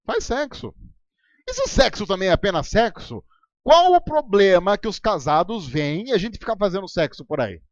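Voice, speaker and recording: A man's voice: high voice, sing-song, moderate pace, dry recording, muffled recording. A man preaching a sermon as pastor in a Brazilian Portuguese accent, talking conversationally with sarcastic asides and ironic voices. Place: church hall.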